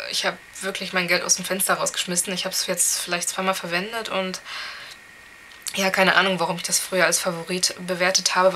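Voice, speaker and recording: Beauty vlogger, twenties, a bit hoarse with a cold; chatty and candid, bright tone, small room. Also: female voice, fairly low pitch, slight background noise. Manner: chatty, candid, many fillers. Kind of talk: casual product review.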